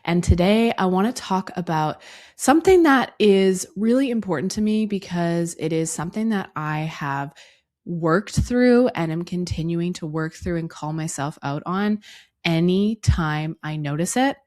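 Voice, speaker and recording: A woman in her thirties hosting a solo podcast, her voice hoarse and raspy from a cough, talking earnestly and reflectively to the listener. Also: lowish voice, lively intonation, a little slow, darkish tone. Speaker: podcast host.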